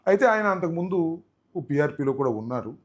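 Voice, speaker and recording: A man delivers political commentary. His pitch swings widely from phrase to phrase. He lays out each point deliberately and poses rhetorical questions to those watching. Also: expressive. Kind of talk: unhurried political commentary addressed to viewers.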